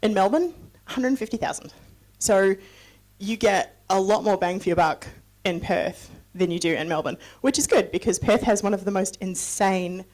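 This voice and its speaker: A woman's voice: mid-range voice, normal speed, darkish tone, rough recording. A woman from New Zealand who is a guest speaker on sustainability. She gives a chatty, conversational presentation with many fillers and asides, and she answers audience questions.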